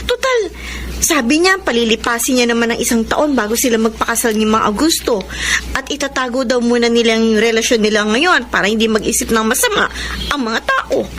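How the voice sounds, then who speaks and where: High-pitched anxious Filipina younger sister. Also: female voice, lively intonation, a little fast, a bit bright, slight background noise. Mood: worried, resigned. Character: anxious, conciliatory younger sister, working student. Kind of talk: Filipino radio drama acting.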